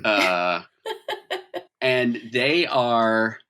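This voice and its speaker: A man's voice: mid-range voice, drawn-out words, sharp tone, clear, resonant tone. A man working as game master, improvising narration in a conversational, dramatic storytelling manner.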